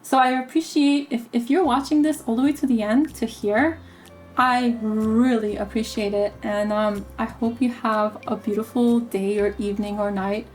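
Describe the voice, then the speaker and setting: Hoarse woman chatting over game footage. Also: fairly high pitch. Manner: halting, repeats words, many ums. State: losing her voice, coughing. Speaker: gaming YouTuber. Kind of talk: casual gameplay commentary.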